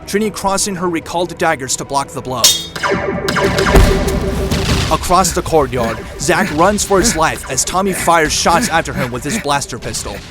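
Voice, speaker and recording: Male narrator for action audio drama, fairly high register, scripted storytelling under a loud score. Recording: loud music bed. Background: loud music.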